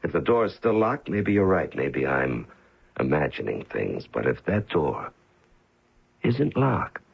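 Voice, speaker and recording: An actor playing a cold, controlled hitman in an old-time radio drama, interrogating with soft, coaxing menace. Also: extremely low pitch, sing-song, measured pace, vocal fry, breathy edge, heavily acted. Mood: menacing, accusing. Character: cold, menacing professional hitman confronting his betraying girlfriend. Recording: muffled old radio broadcast recording.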